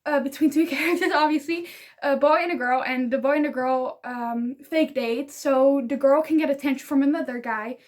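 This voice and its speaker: High-voiced Dutch woman gushing over books. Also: moderate pace. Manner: gushing, rambling, giggly. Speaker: book vlogger. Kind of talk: casual book review vlog.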